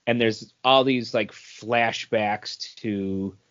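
A man's voice: on the low side, steady tone, drawn-out words, a bit bright. A man co-hosting a podcast, chatting casually through a comic book review in a rambling way that repeats phrases as he thinks. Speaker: podcast co-host. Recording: dull lo-fi podcast audio.

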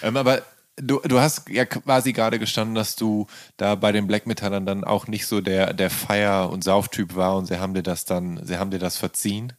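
Male interviewer, lowish pitch, casual conversational delivery, very dry recording. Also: flat delivery, a little fast, dry recording.

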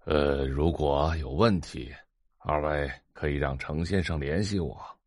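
An actor playing a venerable, reassuring old healer, measured and courteous, in an audiobook drama. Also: male voice, a bass voice, dry recording, clean studio sound. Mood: calm, reassuring.

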